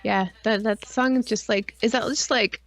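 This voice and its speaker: Sarcastic, higher-voiced woman on a stream. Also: steady tone, normal speed. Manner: sarcastic, mock-outraged ranting. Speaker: podcast co-host. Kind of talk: casual, sweary live-stream banter about films. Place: live online stream.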